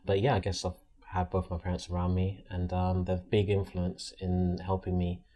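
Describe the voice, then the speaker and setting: A man's voice: deep voice, completely flat delivery, normal speed, warm tone. A man with a London accent chatting informally as a podcast guest, a little hesitant in his phrasing. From London, England. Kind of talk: casual interview chat.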